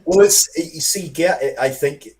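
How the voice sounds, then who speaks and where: A man's voice: fairly high pitch, measured pace, darkish tone. A man chatting casually as an interview guest, rambling and often breaking off to restart his sentences. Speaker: interview guest, comics and game writer.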